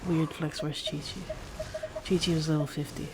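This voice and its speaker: A woman's voice: low voice, flat delivery, normal speed, noisy background. A woman game streamer chatting casually to her viewers during a livestream. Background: music.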